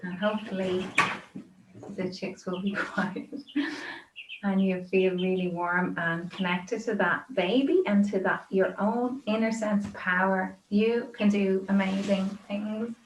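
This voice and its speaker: Echoey woman guiding gentle yoga relaxation. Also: mid-range voice, steady tone. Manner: gentle, soothing, unhurried, informal phrasing. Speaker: yoga and meditation teacher. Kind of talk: guided yoga relaxation instruction. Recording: roomy echo, dull lo-fi sound, clear background noise.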